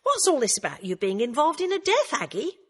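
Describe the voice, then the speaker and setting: A woman's voice: huge pitch swings, brisk. A woman voicing a camp, flamboyant middle-aged man who chatters and tells groan-worthy jokes. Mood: teasing. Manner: flamboyant, teasing, high-flown.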